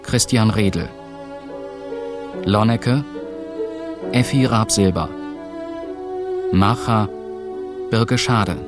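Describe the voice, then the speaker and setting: A man formally announcing the credits of a radio play, reading out items one by one with pauses. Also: lowish voice. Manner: formal, listing names with pauses. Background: string music.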